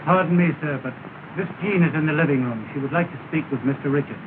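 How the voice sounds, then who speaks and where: Formal deferential butler, played by an actor, higher male voice, quick delivery, tinny 1930s film. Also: background noise, lightly acted. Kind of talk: 1930s film drama acting.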